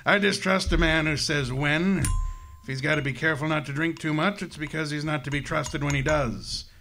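An actor playing a jovial, wordy, wealthy fat crime boss in a radio drama, speaking with effusive, genteel relish. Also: male voice, a little high, steady tone, normal speed, sharp tone. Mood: effusive, genial. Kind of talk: old-time radio drama acting.

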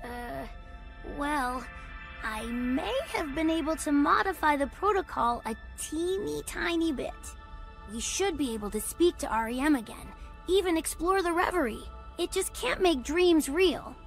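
A woman's voice: sits high, animated, unhurried, creaky, soft background music, exaggerated voice. A woman voicing an earnest, brainy little boy fox inventor in a cartoon video game, eager and explanatory. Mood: sheepish, hopeful.

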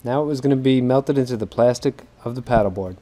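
A man's voice: mid-range voice, quick pace, mellow, soft background music. Easygoing man narrating a boat project. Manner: easygoing, chuckles at himself. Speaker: fishing video host. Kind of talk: casual how-to narration. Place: on a docked boat outdoors.

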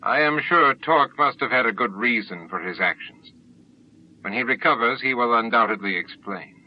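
A man voicing a vain, controlling alien grand master in an audiobook, formal, measured and condescending. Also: mid-range voice, close to an everyday voice. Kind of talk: audiobook character acting. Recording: dull lo-fi audiobook tape with music.

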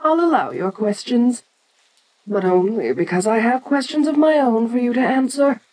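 A woman playing an ancient, domineering giant kaiju in an audio roleplay, haughty, deliberate and curious, talking down to a lesser creature. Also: a little high, expressive, dark tone, low-quality recording.

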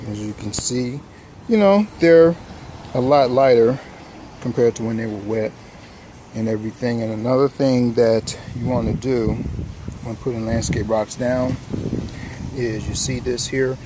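A man's voice: medium pitch, unhurried, long pauses, slight background noise, rough recording. A man casually walking through his yard work on a handheld phone camera, talking loosely with lots of fillers and restarts, outdoors. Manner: relaxed, rambling, many fillers and restarts. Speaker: home improvement vlogger. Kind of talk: casual filming-as-he-works walkthrough. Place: outdoors in a home front yard.

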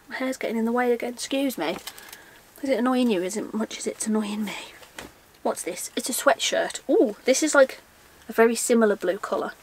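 A young woman with a fairly high voice chats through a haul vlog in a casual, self-mocking way. She asks herself questions aloud and talks as though to friends. She is recorded indoors in a shelf-lined room by a camera set just in front of her. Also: a little hiss or hum. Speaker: vlogger.

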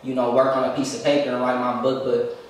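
A man's voice: mid-range voice, completely flat delivery, quick pace, far from the mic. Laid-back young man in an echoey room. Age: young adult. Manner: laid-back, repeats "you know what I'm saying". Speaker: interview guest. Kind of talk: casual interview talk. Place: room with a leather couch, indoors.

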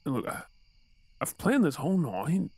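A man's voice: on the high side, big pitch swings, a little slow, a little mellow, slightly rough, no room sound, heavily acted. A man with an Australian accent playing an exasperated but tender boyfriend in an intimate, conversational audio roleplay.

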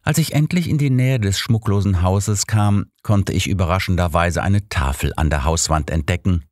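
A man's voice: low voice, moderate pace, a little mellow, no room sound. A man narrating a scripted first-person story as an audiobook reader, close on a studio microphone.

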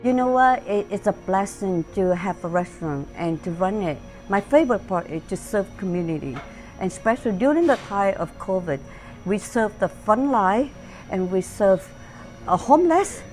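A woman's voice: mid-range voice, animated, moderate pace, a little mellow, soft background music. A middle-aged woman who owns a restaurant, telling her story with heartfelt enthusiasm in her dining room. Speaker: restaurant owner. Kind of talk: interview testimonial.

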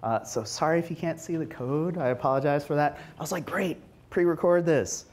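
A man in his forties presenting informally at a tech meetup, conversational, with animated asides, speaking into a lapel mic. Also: on the high side, fast talker. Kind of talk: informal technical presentation with demos. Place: meetup room, standing before a small audience.

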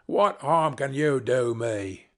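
A man's voice: medium pitch, animated, takes it slowly, fairly bright tone. A man playing a brutish male carter in a storybook, grumbling, scornful and self-pitying. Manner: grumbling, lamenting.

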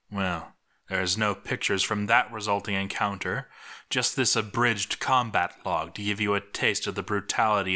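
Male narrator for a fantasy tale: low, bright-edged, theatrical, unhurried. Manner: theatrical, measured, lingering on phrases. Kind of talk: dramatic scripted story narration.

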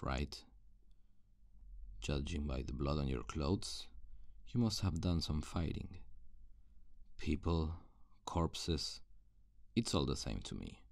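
A gruff apocalypse survivor, acted by a man for an ASMR roleplay, speaks in an extremely deep, gravelly voice. He is a cynical, guarded loner who delivers terse lines slowly and deliberately. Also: a little mellow, no room sound, studio quality, exaggerated voice. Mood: grim, detached. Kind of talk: ASMR roleplay acting, addressed to the listener.

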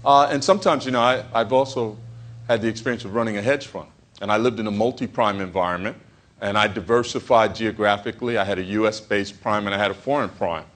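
A man's voice: lowish voice, fast talker, fairly bright tone. A man moderating a panel at a business conference, speaking fluently and conversationally as he frames the topics with examples. He stands before a seated audience in a hotel conference room.

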